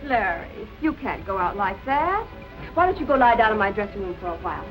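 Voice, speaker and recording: A woman acts a caring but firm nightclub singer in an old forties film. Her speech is animated and coaxing, with faint music on the soundtrack. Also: medium pitch, fairly quick, close to an everyday voice, poor audio. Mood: concerned, coaxing. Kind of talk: 1940s film acting.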